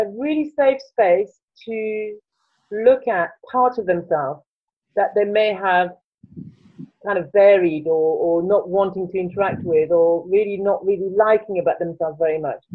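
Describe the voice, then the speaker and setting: A woman's voice: mid-range voice, a little slow. A French woman in her forties with a French accent answers questions in a thoughtful way. She searches for words and restarts often. Her voice comes through a thin, tinny, phone-like line. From France. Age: 40s. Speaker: guest, coach. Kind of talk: reflective interview answers.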